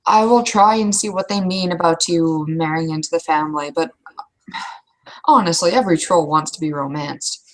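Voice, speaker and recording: A woman's voice: sits low, moderate pace, close to an everyday voice, poor audio. A woman playing a blunt veteran female troll knight in a tabletop roleplaying game, talking in a frank, folksy, matter-of-fact way.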